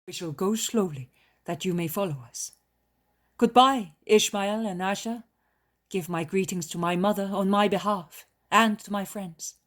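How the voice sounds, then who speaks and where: A woman reading the lines of a calm, commanding male holy healer in a solemn storybook voice. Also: medium pitch, measured pace, voice pushed up, natural voice.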